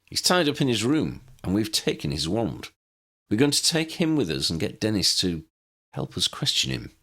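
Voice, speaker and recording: A decisive head detective performed by a male narrator in a storybook reading. He sounds confident and matter-of-fact, brisk in manner, with a low, husky, gravelly tone. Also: sing-song, pushed and bright, exaggerated voice. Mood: brisk, pleased.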